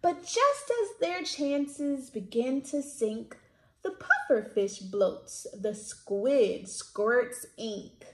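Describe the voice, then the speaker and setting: A woman in her thirties hosting children's storytime, reading picture books aloud in an expressive, sing-song way. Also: on the high side, extremely slow. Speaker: children's storytime host at a library. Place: indoors, small plain-walled room. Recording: roomy echo from camera mic.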